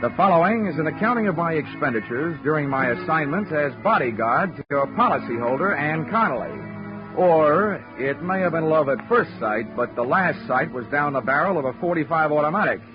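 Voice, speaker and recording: A man's voice: a little high, animated, a little fast, lightly acted. Man voicing a wisecracking insurance investigator, dry narration, muffled old radio sound with music behind. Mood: wry. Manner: dry wisecracking first-person delivery. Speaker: lead actor. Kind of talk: 1940s radio drama narration.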